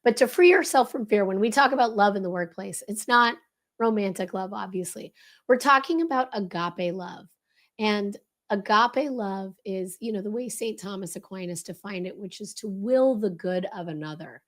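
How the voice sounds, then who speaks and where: Hoarse woman coaching with a crackly voice. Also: mid-range voice. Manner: brisk, animated, coaching tone. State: hoarse, voice lost. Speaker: leadership consultant guest. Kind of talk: explaining a leadership framework.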